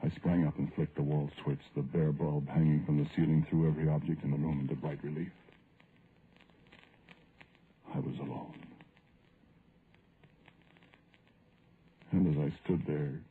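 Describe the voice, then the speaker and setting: A man's voice: very deep voice, little pitch movement, fast talker, hardly any pauses. An actor playing a guilt-ridden, grieving man who narrates his ghost story in a solemn, literary radio drama style. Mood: tense, solemn. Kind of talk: vintage radio horror drama acting. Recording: noisy, narrow-band vintage radio recording.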